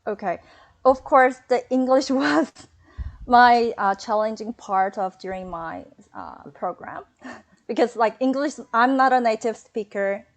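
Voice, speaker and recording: A woman with a Korean accent, a former student answering questions in a webinar interview, speaking haltingly and pausing to search for words. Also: on the high side, expressive.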